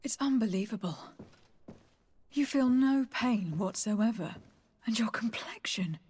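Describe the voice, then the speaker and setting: An actress playing a protective, level-headed young noblewoman adventurer in a fantasy game, with a breathy voice and earnest, direct delivery. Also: a little high, lively intonation, measured pace, darkish tone. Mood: concerned, questioning. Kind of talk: dramatic fantasy game acting.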